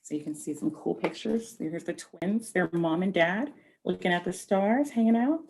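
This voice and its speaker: A woman reading a picture book aloud to children, expressively, pausing to explain things directly to her young listeners. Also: fairly low pitch, creaky, a little mellow, a little hiss or hum. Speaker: read-aloud storyteller.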